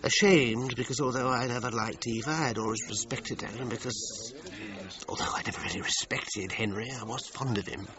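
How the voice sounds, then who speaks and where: An actor playing an evasive, self-justifying British musician in a crime radio drama, articulate and emphatic. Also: male voice, mid-range voice, normal speed, natural voice. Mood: subdued, reflective. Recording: dull lo-fi sound with pub chatter behind.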